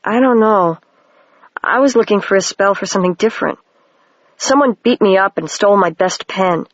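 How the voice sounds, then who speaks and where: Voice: medium pitch, moderate pace, dry recording, lightly acted. Curious young wizard girl, as a reader voices her. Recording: dry, dull lo-fi home recording.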